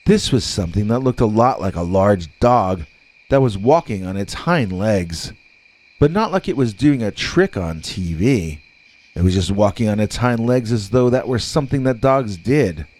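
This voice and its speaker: A man reading scripted scary stories as a narrator, with dramatic, deliberate delivery and drawn-out words. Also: on the low side, animated, a little mellow.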